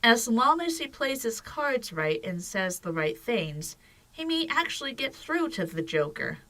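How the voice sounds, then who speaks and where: A woman's voice: fairly high pitch, sing-song, measured pace, pushed and bright. A woman narrator reading scripted storytelling for an audio drama.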